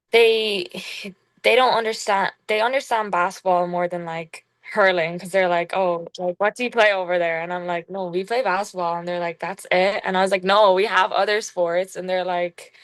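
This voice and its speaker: A young woman from Ireland, a college basketball player, talking casually in an interview with an Irish accent gone strongly American, halting and full of fillers. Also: mid-range voice, no room sound. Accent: Irish with a strong American tinge. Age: young adult. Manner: relaxed, halting, full of "like" fillers, laughs often.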